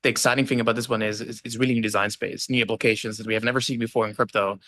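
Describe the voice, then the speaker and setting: A man's voice: lowish voice, level tone, racing, a bit bright, no echo, studio quality. A young man from Austria, a podcast guest on a video call, talking fluently with many fillers and tag questions. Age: young adult. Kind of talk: casual interview talk. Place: indoor room with plant, video call.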